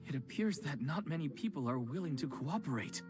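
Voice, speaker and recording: A man's voice: a little high, lively intonation, brisk, music in the background, natural voice. A man voicing an anxious, apologetic club organizer in a game, speaking nervously.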